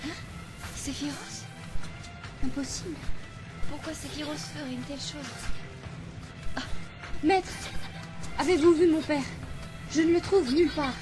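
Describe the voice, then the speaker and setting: This is a woman playing a worried young girl in an anime dub. Her voice is extremely high and fretful, set over clearly audible music. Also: steady tone, unhurried, long pauses. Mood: shocked, worried. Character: anxious young girl searching for her father. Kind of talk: amateur French anime dub acting.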